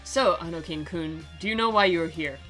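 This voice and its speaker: A woman's voice: lowish voice, normal speed, fairly bright tone, faint music behind, lightly acted. Woman voicing a cold, cloyingly polite old male principal. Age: seventies.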